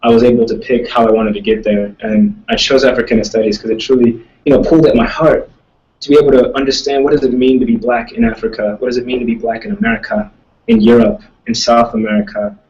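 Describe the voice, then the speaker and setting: A man's voice: mid-range voice, fast talker, darkish tone, far from the mic, highs cut off. A young man, a student, answering audience questions in casual talk, thoughtful and rambling, with many restarts. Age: young adult. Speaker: student speaker.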